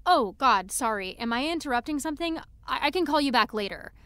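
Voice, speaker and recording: A woman's voice: sits high, expressive, a little fast, fairly bright tone, clear, resonant tone, dry recording, studio quality. A young woman playing an earnest, anxious, apologetic teenage girl in an audio drama, hesitant and rambling. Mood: flustered, apologetic. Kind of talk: naturalistic audio drama acting.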